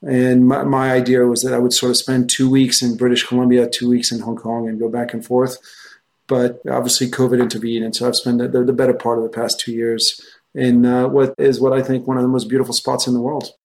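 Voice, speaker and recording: A man's voice: medium pitch, monotone, a little fast, rough recording. A middle-aged man from France, a native French speaker, giving discursive, anecdotal answers as an interview guest. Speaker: guest, investment research executive.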